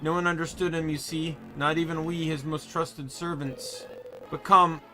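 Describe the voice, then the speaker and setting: A man's voice: a little high, little pitch movement, normal speed, over music, lightly acted. A man voicing a formal, courteous servant of a fallen empire in a video game. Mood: wistful.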